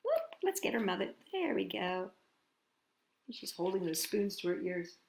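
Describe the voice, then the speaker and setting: A woman hosting a children's storytime, reading and singing in a playful, expressive way with drawn-out words and chatty asides. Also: medium pitch, gravelly, echoey room. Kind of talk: animated picture-book read-aloud and children's singing.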